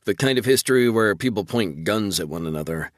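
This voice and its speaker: A man's voice: on the low side, animated, brisk, no room sound, studio quality, natural voice. A man voicing a wry, weary male space fleet officer in a sci-fi audiobook. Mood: grim, wry. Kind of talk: audiobook character dialogue.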